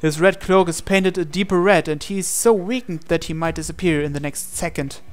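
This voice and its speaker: A man's voice: on the high side, a little fast, faint music behind. A man reading a visual novel aloud as a game player, in dramatic storytelling narration.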